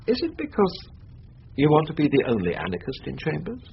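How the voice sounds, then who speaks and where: An actor playing a sulky, defiant English barrister in a radio drama, with a petulant, plaintive manner. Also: male voice, fairly high pitch, wildly swooping pitch, brisk, gravelly, full character voice. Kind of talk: BBC radio drama acting. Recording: dull, noisy radio recording.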